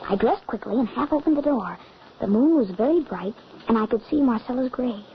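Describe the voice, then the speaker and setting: A woman's voice: on the high side, expressive, brisk, close to an everyday voice. A woman voicing a frightened young boy, the son, in an old radio drama, telling the story urgently. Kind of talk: 1940s radio acting. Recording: dull old radio recording, faint hiss.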